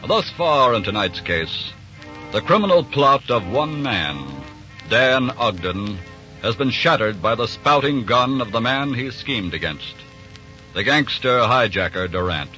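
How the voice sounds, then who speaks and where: A man's voice: mid-range voice, lively intonation, measured pace, bright, forceful tone. American guy narrating old radio crime show, grave and dramatic, muffled recording, faint music behind.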